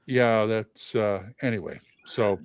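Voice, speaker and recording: A man hosting a livestream, chatting casually about tabletop games, opinionated and halting with sudden loud, excited bursts. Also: lowish voice, no echo, studio quality. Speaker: livestream host.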